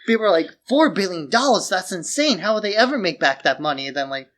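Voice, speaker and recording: A man's voice: high voice, expressive, quick pace, a bit bright. A man co-hosting a podcast, casually discussing films. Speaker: podcast co-host.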